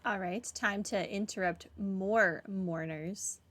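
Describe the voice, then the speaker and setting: A woman gives casual commentary on a game livestream. Her pitch is average for a woman, and her manner is dry and playfully sarcastic. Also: takes it slowly, a bit bright, slight background noise. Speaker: game streamer.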